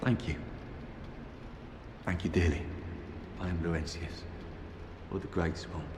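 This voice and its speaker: Humble swamp pyromancer, echoing voice. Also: male voice, low voice, fairly even intonation, unhurried. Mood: grateful, shaken. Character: humble, deeply grateful male swamp pyromancer. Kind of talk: video game character dialogue. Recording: echoey reverberant space, background noise.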